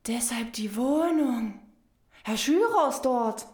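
A woman's voice: on the high side, lively intonation, slow pace, a little mellow, echoey room. An actress playing a crude, suspicious and proud old woman neighbour in a radio crime play, brusque and accusatory. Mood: suspicious, nosy.

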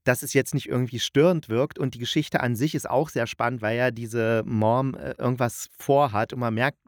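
A man's voice: medium pitch, steady tone, moderate pace, dry recording, clean studio sound. A man giving a casual spoken review in a chatty, colloquial, opinionated manner. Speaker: audio drama reviewer, host.

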